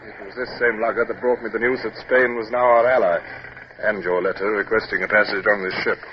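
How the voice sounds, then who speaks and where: Actor as a gruff, deep naval captain. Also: male voice, fairly even intonation, brisk, natural voice. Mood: composed, formal. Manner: formal, clipped, hesitant when moved. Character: stern, gruff but humane British naval captain. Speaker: lead character and narrator. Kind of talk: 1950s radio drama acting. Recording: noisy, muffled vintage radio recording.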